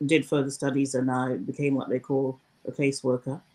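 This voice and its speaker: A woman, a former news presenter talking through her career at a thoughtful, measured pace in a casual interview. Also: a bass voice, little pitch movement, darkish tone, poor audio. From Zimbabwe.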